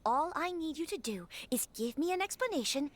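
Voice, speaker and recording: A very high, girlish noblewoman in a video game. She is gentle and anxious yet poised, choosing formal words with care and able to stand firm. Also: animated. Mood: firm, commanding. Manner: earnest, formal, measured. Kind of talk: English video game voice acting.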